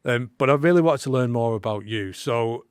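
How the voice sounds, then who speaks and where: A man's voice: medium pitch, fairly quick, smooth, no room sound, clean studio sound. A man hosting a podcast, friendly and chatty with his guest. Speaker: podcast host. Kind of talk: interview hosting.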